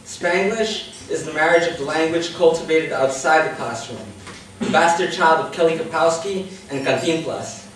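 A man's voice: fairly high pitch, normal speed. Young man reading poetry in echoey room. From Jamaica, Queens, New York. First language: Spanish. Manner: reads from paper, relaxed asides before poem. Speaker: open mic poet. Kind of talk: spoken word poetry reading. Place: small indoor poetry theater stage. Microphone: far camera, mic on stand. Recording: echoey room from far off, faint hiss.

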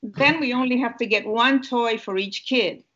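A woman's voice: a little high, moderate pace, fairly bright tone, muffled recording. An older woman with a Puerto Rican accent reading the part of a scheming uncle who always works an angle. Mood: sly.

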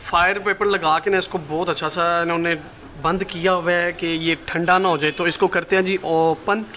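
A man's voice: high-pitched, fairly even intonation, moderate pace, sharp tone. A man in his twenties with a Pakistani accent, presenting a food vlog in a casual style. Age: 20s. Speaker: food vlogger, host. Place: small open-front street restaurant and seating area. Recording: noisy street-side eatery ambience.

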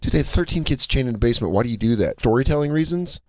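A man co-hosting a comedy podcast who swings into high, squeaky character voices during bits. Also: expressive, very fast. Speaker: podcast co-host. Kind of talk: improvised comedy banter.

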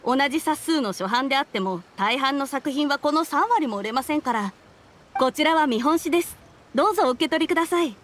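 Casting: young female clerk for an anime game, high voice, formal and very courteous. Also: animated, brisk, fairly bright tone, a little hiss or hum. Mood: pleased. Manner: very polite, businesslike.

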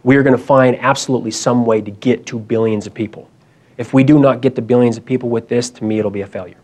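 A man's voice: medium pitch, fairly even intonation, fast talker, darkish tone. Urgent young man at a conference. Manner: urgent, emphatic, repetitive. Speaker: conference speaker. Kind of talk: motivational talk. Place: conference hall.

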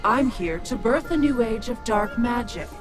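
A woman's voice: on the high side, animated, normal speed, over music, lightly acted. A woman playing a cruel, gloating evil witch demon in a video game, menacing and haughty. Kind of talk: video game character acting.

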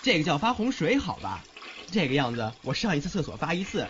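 Fussy young student played by a man, lively pitch. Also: brisk, background noise. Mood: suspicious, exasperated. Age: young adult. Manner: nitpicking, insistent. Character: fussy, hard-bargaining young male student renting a flat. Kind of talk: Chinese audio drama acting. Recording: muffled recording with music behind.

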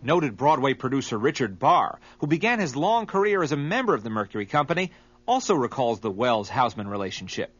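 A man's voice: mid-range voice, big pitch swings, brisk, husky, no echo. A man reading scripted narration as the narrator of a documentary. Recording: slightly dull, lo-fi audio.

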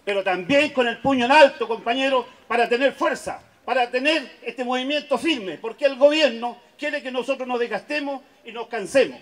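A middle-aged Chilean woman giving a loud, rousing speech into a microphone at an outdoor rally. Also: high voice, normal speed, few pauses. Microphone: handheld microphone.

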